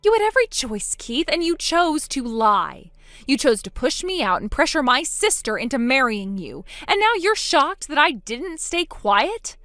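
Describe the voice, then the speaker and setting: A determined older sister speaks firmly and evenly, played by a woman. Her voice is slightly high and lively, and it sits in a dry, close recording. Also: moderate pace, no echo, full character voice. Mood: cold anger. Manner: firm, steady, lower-voiced.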